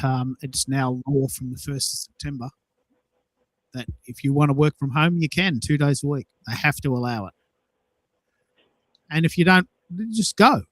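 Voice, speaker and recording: A man's voice: a little high, low-quality recording. A man with an Australian accent hosting a show in casual chat, enthusiastic and emphatic as he talks. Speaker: show host.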